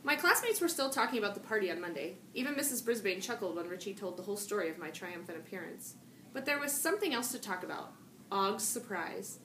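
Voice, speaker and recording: A woman reading a children's novel aloud in an animated, emphatic storytelling manner. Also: medium pitch, fast. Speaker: storybook reader, teacher or school staff. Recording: noticeable background room noise.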